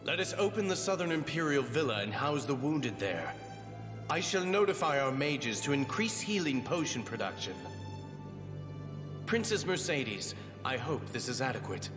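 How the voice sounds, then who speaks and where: A man's voice: medium pitch, big pitch swings, moderate pace, close to an everyday voice. A man voicing a composed, efficient royal fairy advisor in a video game, with a formal, courtly manner. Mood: composed, deferential. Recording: echoing reverb, clear music behind.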